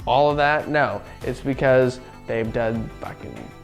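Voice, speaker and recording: A man's voice: mid-range voice, a little slow, gravelly, faint music behind. A man co-hosting a show about video games, talking through his commentary. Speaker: show co-host. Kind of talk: scripted narration and casual opinionated talk about video game films.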